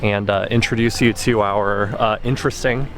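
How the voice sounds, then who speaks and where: A man's voice: fairly low pitch, fairly even intonation, moderate pace, slight background noise. A man co-hosting a travel vlog, narrating and chatting in an informal, conversational style. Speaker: travel vlogger, co-host. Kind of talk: casual vlog narration and chat.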